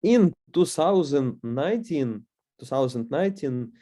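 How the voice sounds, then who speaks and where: Man, Russian accent, webinar lecture, mellow tone, halting with fillers. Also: medium pitch, animated. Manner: hesitant, many fillers and restarts. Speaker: course instructor.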